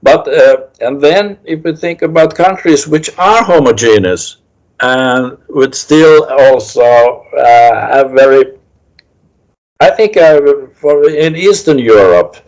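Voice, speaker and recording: An older man with a Finnish accent, a criminology professor answering interview questions in a halting way, with many restarts and fillers. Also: medium pitch, darkish tone, rough recording. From Finland.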